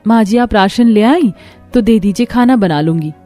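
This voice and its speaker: A woman's voice: mid-range voice, moderate pace, warm tone, faint music behind, lightly acted. A woman voicing a poor, loyal young pregnant daughter-in-law in a cartoon story, earnest and respectful. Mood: gentle.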